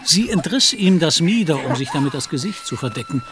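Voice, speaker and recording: A man's voice: a little high, big pitch swings, brisk, crowd noise. A man narrating a literary audio drama in German as a scripted storyteller.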